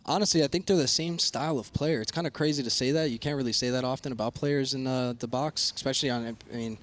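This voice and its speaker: A man with a slightly hoarse, raspy voice, chatting informally in slang as a player giving a post-match interview and analysis. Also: medium pitch, quick pace. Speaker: pro esports player interviewed as guest analyst.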